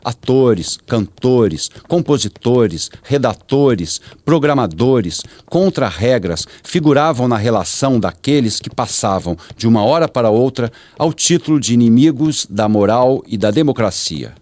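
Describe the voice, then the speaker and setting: A man's voice: mid-range voice, lively intonation, measured pace, few pauses, a little mellow, no room sound. A Brazilian man reading scripted radio documentary narration in a solemn, dramatic manner. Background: music.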